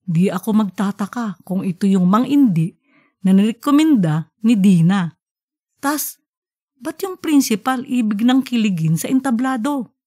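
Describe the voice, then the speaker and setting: A woman voicing a grumbling, deadpan middle-aged husband who mutters skeptically in an audiobook story. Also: a little high.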